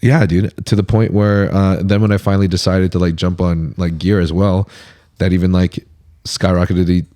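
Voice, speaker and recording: Mellow, deep-voiced podcast host. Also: male voice, level tone, normal speed, no room sound, studio quality. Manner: laid-back, uses dude and like a lot. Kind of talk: casual podcast chat.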